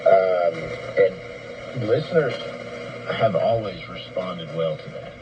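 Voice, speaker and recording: A man hosting a casual radio station talk show, speaking in a measured, halting way. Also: deep voice, lo-fi sound. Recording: noisy shortwave radio broadcast.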